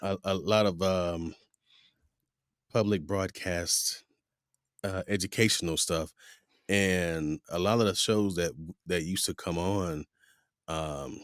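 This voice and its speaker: Animated man hosting a podcast. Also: sits low, slow, pauses often, no echo, clean studio sound. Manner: animated, rambling storyteller. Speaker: podcast host. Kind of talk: casual interview chat.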